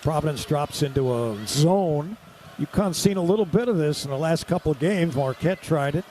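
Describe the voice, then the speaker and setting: A man's voice: on the high side, lively intonation, moderate pace, warm tone, a little hiss or hum. A man doing live radio-style basketball play-by-play in clipped, vivid running descriptions.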